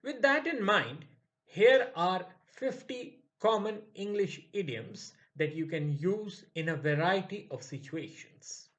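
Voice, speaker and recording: A man narrating a scripted English idioms lesson in a slow, measured way. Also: high-pitched. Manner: slow, measured, lively intonation. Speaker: English teacher.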